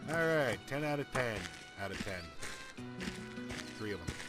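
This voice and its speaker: A man's voice: medium pitch, lively intonation, a little slow. A man giving casual, chatty let's play commentary on a video game, grumbling playfully. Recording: loud game music behind.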